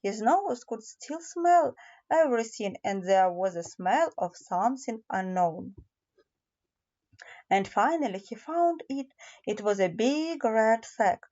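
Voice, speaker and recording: Woman, children's story narrator, slightly high mellow voice, deliberate reading with small hesitations, slightly muffled lo-fi recording. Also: lively intonation. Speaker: audiobook narrator. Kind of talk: children's fairy tale narration.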